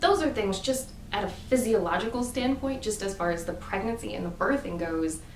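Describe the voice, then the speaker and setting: A woman's voice: medium pitch, lively intonation, a little fast, roomy sound, distant mic, a little hiss or hum. A woman in her thirties talking earnestly and emphatically in a casual monologue, with many fillers. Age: 30s. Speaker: vlogger.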